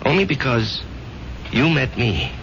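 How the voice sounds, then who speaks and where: A man's voice: mid-range voice, animated, unhurried, vocal fry, slight background noise. An actor playing a troubled, hesitant man in love who questions fate earnestly in a radio drama. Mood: wistful, anxious. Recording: dull old radio recording, loud music.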